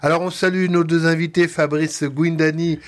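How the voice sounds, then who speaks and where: A man's voice: a little high, little pitch movement, normal speed. A man hosting a casual radio talk show from a studio table, speaking with many fillers and restarts. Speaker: radio host.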